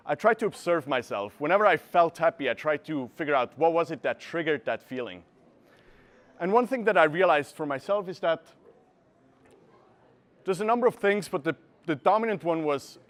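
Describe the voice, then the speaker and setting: A young man from Switzerland, giving an earnest presentation and often pausing to search for words, recorded from a camera across a room. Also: a little high, a bit bright. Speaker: speaker. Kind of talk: presentation talk. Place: gallery room with a seated audience.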